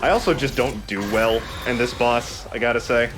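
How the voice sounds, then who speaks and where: A man's voice: mid-range voice, moderate pace. A man hosting a let's play, chatting casually as he comments on the game. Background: game music.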